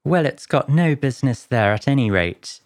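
A woman's voice: rumbling low, expressive, moderate pace, a little mellow, pitched below the performer's own voice. A woman voicing an angry, bossy male rabbit master in a storybook, scolding and giving imperious orders.

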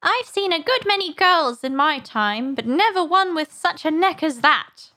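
A woman's voice: extremely high pitch, very animated, unhurried, bright, forceful tone, pitched above the performer's own voice, full character voice. A woman voicing a frantic, scolding mother pigeon in an indignant, accusatory way for a storybook. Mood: scornful. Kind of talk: storybook character voice.

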